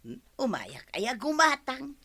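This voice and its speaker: A woman with an Ilocano accent playing an excitable, dithering, forgetful villager in a comic audio drama. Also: high-pitched, unhurried, breathy edge, heavily acted. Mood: indignant.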